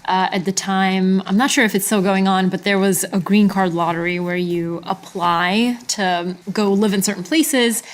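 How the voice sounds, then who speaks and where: A woman in her late twenties from Bulgaria, a journalist guest talking casually in an office interview, relaxed and laughing often. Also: medium pitch, moderate pace, hardly any pauses.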